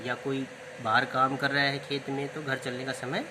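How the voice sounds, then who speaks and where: A man's voice: medium pitch, monotone, normal speed, background noise. A man teaching a lesson, explaining word meanings step by step and repeating key terms. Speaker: Hindi literature teacher.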